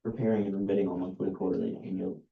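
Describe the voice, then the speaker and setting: A man, an outside accountant, reading a list of services aloud at a meeting in a dull, monotone way, with many ums and uhs. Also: sits low, racing, gravelly. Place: meeting room. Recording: roomy, dull lo-fi meeting recording.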